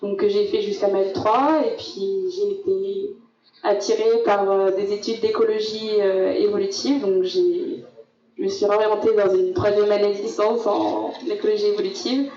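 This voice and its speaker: A young woman from French Guiana, a master's student, describing her studies in a steady way with fillers. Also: mid-range voice, normal speed. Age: young adult. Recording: dull roomy sound from far off.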